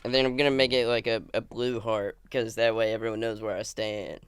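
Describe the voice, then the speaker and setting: A man co-hosting a podcast in casual chat, deadpan and mock-serious, recorded on a studio microphone. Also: on the low side, fairly quick, clear, resonant tone, no echo. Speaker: podcast co-host.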